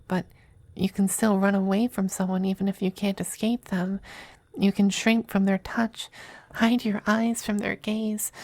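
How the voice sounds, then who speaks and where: A close, dry, mellow voice for a tender demon femboy played by a woman in an ASMR roleplay. He speaks slowly and softly, slipping into breathy whispers. His manner is gently coaxing and hesitant, lovingly possessive. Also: mid-range voice, no room sound.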